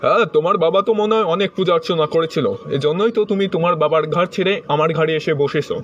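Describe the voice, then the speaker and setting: Man voicing a highish, sarcastic cartoon dad. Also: very fast, highs cut off, over music, natural voice. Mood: grumbling. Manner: sarcastic, lecturing. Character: grumbling, sarcastic middle-aged cartoon father and husband. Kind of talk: comedy cartoon dubbing.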